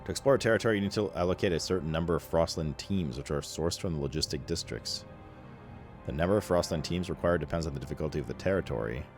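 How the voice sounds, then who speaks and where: A man's voice: rumbling low, quick pace, a little mellow. A man doing casual, slangy and sweary video game commentary, sometimes reading game text aloud. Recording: game music behind the voice. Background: video game music.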